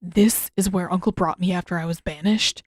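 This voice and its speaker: A woman voicing a grumpy, sardonic teenage prince who grumbles and mumbles in a blunt deadpan. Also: on the low side, normal speed, dry recording, studio quality, lightly acted. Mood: blunt, surprised.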